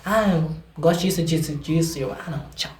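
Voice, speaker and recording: A man's voice: fairly high pitch, brisk. Echoey room, young man, Brazilian accent, casual chat, informal, self-deprecating interview guest. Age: young adult. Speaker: interview guest, singer-songwriter.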